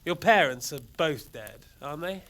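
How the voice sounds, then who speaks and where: A menacing nobleman villain from a medieval game. He is mock-courteous and gloating, in a raised, very animated voice that swoops theatrically. Music plays clearly behind him. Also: a little high, moderate pace. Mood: gloating, cruel. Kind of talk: video game cutscene acting.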